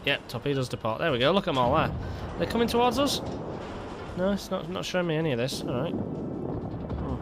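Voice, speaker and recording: A man's voice: fairly high pitch, big pitch swings, a little fast, long pauses, music in the background. A man hosting casual gameplay commentary, rambling with stumbling restarts and a lingering cough. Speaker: gaming video host.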